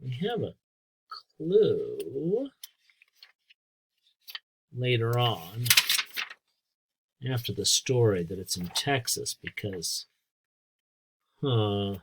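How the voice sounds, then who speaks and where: A husky-voiced American man reads aloud like a teacher with young pupils. His pace is unhurried and his pitch rises and falls in a lively way. He explains things in simple terms and talks straight to the children. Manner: simple, explanatory, addressing young listeners with questions. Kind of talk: read-aloud lesson with asides and questions.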